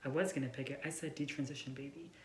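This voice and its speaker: A man's voice: mid-range voice, steady tone, quick pace. A man co-hosting a casual book chat with a friend, speaking informally and peppering sentences with "like". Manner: informal, filler-heavy with frequent "like". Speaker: book vlogger and co-host. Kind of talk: casual chat between friends about books. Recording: echoey room with faint noise.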